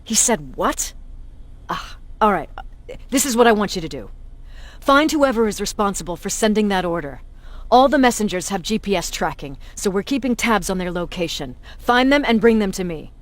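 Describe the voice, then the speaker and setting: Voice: mid-range voice, lively intonation, moderate pace, lightly acted. An actor playing a stern, shrewd military commander giving orders in an action video game. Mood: stern, questioning. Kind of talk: video game dramatic dialogue.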